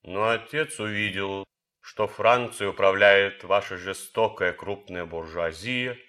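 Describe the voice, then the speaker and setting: An actor playing an idealistic German officer and composer in a radio drama, speaking earnestly, deliberately and theatrically. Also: male voice, on the low side, bright, forceful tone. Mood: earnest, reflective.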